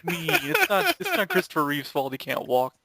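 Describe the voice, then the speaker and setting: A man's voice: on the high side, wildly swooping pitch, normal speed, gravelly, over a noisy crowd. A young man chatting casually and jokingly with his group, as a member of a gaming video crew. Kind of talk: rambling casual group chat.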